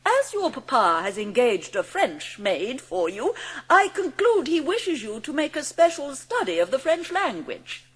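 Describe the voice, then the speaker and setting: A woman's voice: sits high, sing-song, a little slow, fairly bright tone, slightly rough, exaggerated voice. A woman playing a formal, bossy, snobbish headmistress of a girls' school in an audiobook drama.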